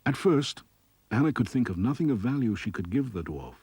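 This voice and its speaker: A man narrating scripted fairy tales for children in an expressive, dramatic way. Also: mid-range voice, brisk, slightly rough, no room sound. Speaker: storyteller, narrator.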